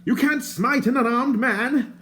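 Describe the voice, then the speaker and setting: A man's voice: very high voice, a little slow, a bit bright, a little hiss or hum, pitched above the performer's own voice. A man voicing a wordy, theatrical male bard in an audiobook, courtly and self-mocking. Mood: alarmed. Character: chatty, flowery wandering male bard.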